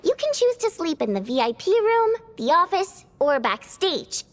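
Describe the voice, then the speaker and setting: A courteous theater hostess in a video game. Her voice is extremely high, formal and dutiful, carrying long reverb as in a large hall. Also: big pitch swings, moderate pace. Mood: polite, formal. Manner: polite, formal.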